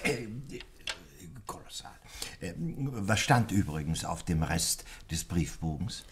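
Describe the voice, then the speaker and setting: An actor playing an emphatic, hemming country gentleman in a German radio play. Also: low voice, sing-song, takes it slowly, a little hiss or hum, heavily acted. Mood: astonished, curious.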